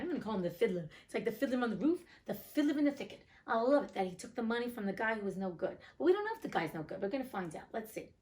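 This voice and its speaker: A woman narrates a fairy tale with a very animated pitch. She is playful and expressive, and moves between storybook reading and chatty commentary. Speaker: host reading fairy tales aloud. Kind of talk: storybook narration with casual asides.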